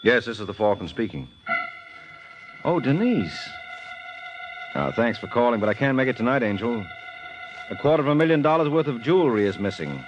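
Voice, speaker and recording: An actor playing a wisecracking, hard-boiled private detective in an old radio drama, in a clipped, breezy style. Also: male voice, medium pitch, big pitch swings, fairly quick, long pauses, dull audio, close to an everyday voice. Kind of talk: 1950s radio detective acting. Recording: vintage radio recording, loud music behind.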